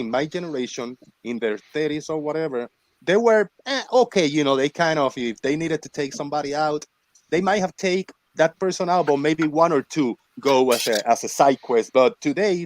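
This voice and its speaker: A man's voice: a little high, lively intonation, normal speed, no room sound. A man in his forties from Puerto Rico telling stories in a casual chat on a live stream. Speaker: stream host.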